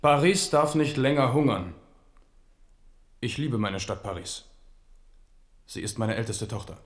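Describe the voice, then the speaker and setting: Casting: male actor for brooding, forceful king, radio drama, mid-range voice, deliberate slow delivery. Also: medium pitch, lively intonation, natural voice. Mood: earnest, firm. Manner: measured, rhetorical, self-questioning. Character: King Henri of France, brooding, proud, forceful warrior. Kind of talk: German radio drama acting.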